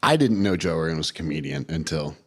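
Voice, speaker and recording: A man with a very deep voice asks his questions in a relaxed, halting way, leaning on ums and uhs. He sounds like a friend in a casual interview. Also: slightly rough. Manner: hesitant, many ums and uhs. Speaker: guest interviewer.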